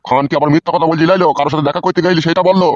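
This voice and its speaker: A man's voice: on the high side, steady tone, very fast, no room sound, dull audio, natural voice. A man voicing a suspicious, hot-tempered old village husband in a comedy cartoon, ranting coarsely. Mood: accusing.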